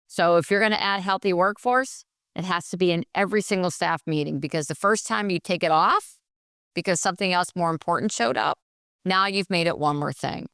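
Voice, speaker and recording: A middle-aged woman nurse consultant answering in a podcast interview, energetic and practical, often acting out what people say. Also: on the low side, brisk, clear, resonant tone, no room sound, clean studio sound. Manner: energetic, practical, acts out quoted dialogue.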